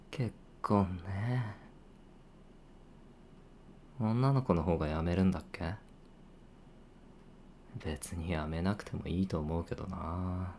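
A man playing a deep-voiced teasing coworker. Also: slight background noise. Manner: teasing, casual, drops into hushed asides. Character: teasing male senior coworker, playful, secretly fond. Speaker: voice actor. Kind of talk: situation voice drama.

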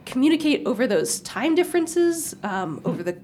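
Lively, inquisitive woman interviewer. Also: sits high, moderate pace. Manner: inquisitive, rising questions. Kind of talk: casual interview.

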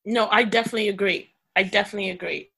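A woman's voice: mid-range voice, steady tone, racing, a crowd in the background, low-quality recording. A woman chatting casually and animatedly with friends, swearing and laughing easily. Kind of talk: casual chat among friends.

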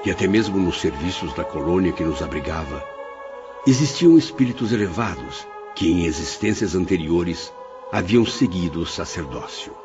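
Reflective male spirit memoirist: very low, solemn radio play narration over prominent music. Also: lively intonation, normal speed, lo-fi sound. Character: male spirit soberly recounting his memoirs of the afterlife. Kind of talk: scripted radio drama narration.

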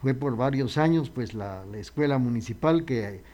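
A man's voice: medium pitch, expressive, normal speed, warm tone. A male radio host, talking casually and unhurriedly with frequent hesitations.

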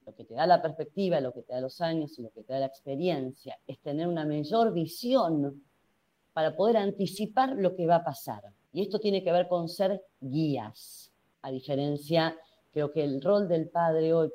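A woman's voice: low-pitched, dark tone. A woman psychologist with a Rioplatense Spanish accent, answering in a radio interview and talking through ideas by asking herself rhetorical questions. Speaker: psychologist guest.

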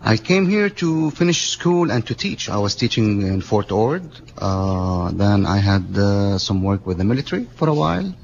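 A man from Lebanon talks as a radio guest in a gravelly, fairly low voice, wandering through his answers with plenty of fillers. The sound is a bit muffled, with a faint hiss behind him. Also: big pitch swings, normal speed, few pauses. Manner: chatty, rambling, with frequent fillers. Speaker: guest, business owner. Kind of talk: casual radio interview. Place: radio studio.

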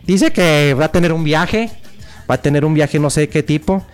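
A man's voice: on the high side, normal speed, smooth, voice pushed down, lightly acted. A cranky old fortune-teller woman, played with a Mexican accent by a man putting on a raised voice. She scolds, insults and reads beans in a mock-mystical drawl, with faint music behind. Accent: Mexican Spanish. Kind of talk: improvised comedy sketch.

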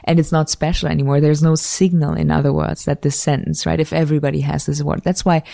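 A woman's voice: low-pitched, flat delivery, fast talker, gravelly, warm tone, no echo, studio quality. A woman, a guest researcher explaining ideas thoughtfully in an interview and often correcting herself mid-sentence. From Switzerland. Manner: thoughtful, academic, frequent self-corrections. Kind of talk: conversational interview.

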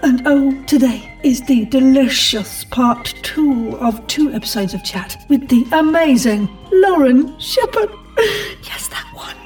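Lady podcast host, kinda high voice, upbeat interviewing, music around her. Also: expressive, measured pace, a little mellow, rough recording.

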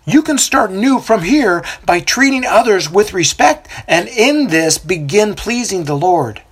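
A storyteller voicing a fiery male desert prophet with a booming, coarse voice who preaches loudly to a crowd. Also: sing-song, a little slow, slightly rough. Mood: urging.

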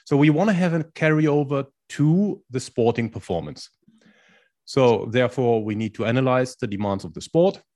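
A man in his forties from Germany with a German accent, answering interview questions in English as a coach in a calm, explanatory way. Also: mid-range voice, normal speed. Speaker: guest, strength and conditioning coach.

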